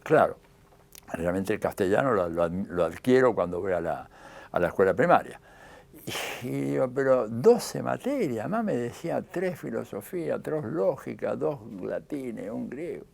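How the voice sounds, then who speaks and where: A man's voice: medium pitch, expressive, moderate pace, vocal fry, a little mellow. An older man with a Rioplatense Argentine accent, telling his story in an interview and acting out the dialogue he quotes.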